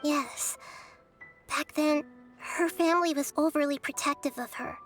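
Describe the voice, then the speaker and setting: A woman voicing a gentle, wise, childlike young goddess in a video game, speaking softly in a measured, thoughtful, explanatory way. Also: sits high, faint music behind. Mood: wistful. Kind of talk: English video game dub acting.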